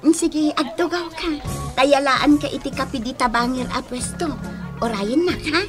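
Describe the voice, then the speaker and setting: A woman as young shopkeeper, friendly and coy, high voice, Filipino accent, radio drama acting, music playing behind. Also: normal speed, lightly acted. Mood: coy, inviting.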